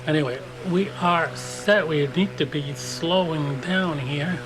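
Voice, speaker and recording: A man giving relaxed, casual commentary while flying a flight simulator at his home desk, often correcting himself mid-sentence. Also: fairly high pitch, takes it slowly, music in the background, rough recording. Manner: chatty, self-correcting, relaxed.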